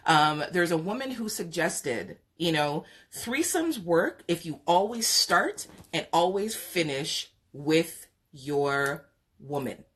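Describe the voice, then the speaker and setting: A woman's voice: low-pitched, animated, slow pace, fairly bright tone, slightly rough. A woman with a Jamaican accent hosting a live podcast chat, giving frank, opinionated advice with lots of fillers. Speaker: podcast host. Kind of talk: live chat talk show, reading viewer comments.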